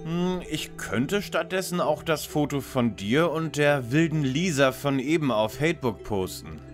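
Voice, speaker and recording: A man acts out a bossy, know-it-all young detective in a parody radio play. His delivery is lively and articulate, with a pompous, commanding manner over faint music. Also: a little high, a little slow. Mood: smug, insistent. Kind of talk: parody detective audio drama acting.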